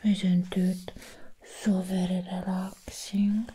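A woman playing a sweet, doting vampire in an ASMR roleplay, soothing the listener in a breathy whisper and gently repeating words. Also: on the low side, level tone, slow, a little hiss or hum. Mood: doting, gentle. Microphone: close ASMR microphone.

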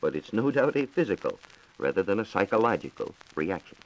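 An American man explaining science in a measured, formal way on a 1940s radio program. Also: lowish voice, big pitch swings, low-quality recording. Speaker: science reporter and radio co-host. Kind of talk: 1940s radio science talk, answering questions. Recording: 1940s radio transcription disc.

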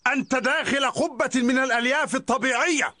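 A man's voice: very high-pitched, fast, sharp tone, no room sound, exaggerated voice. A man voicing a timid, helpful animal sidekick in a dubbed cartoon, eager and cautioning. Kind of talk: Arabic cartoon dub acting. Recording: cartoon music under the voice.

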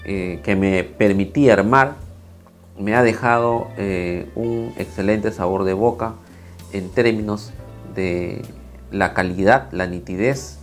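A man in his thirties, slightly hoarse, talking casually on a lapel mic in a small home studio, with many fillers and restarts. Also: lowish voice, slow pace, faint music behind. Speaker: video creator reviewing camera footage. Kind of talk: casual talk to camera, then screen walkthrough.

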